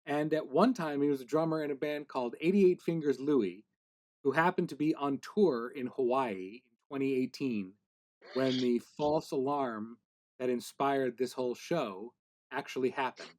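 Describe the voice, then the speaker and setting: Guy hosting a podcast, regular pitch, chatting casually, dry jokes. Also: moderate pace, a little mellow, low-quality recording. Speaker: podcast host and interviewer. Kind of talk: casual interview with dry joking.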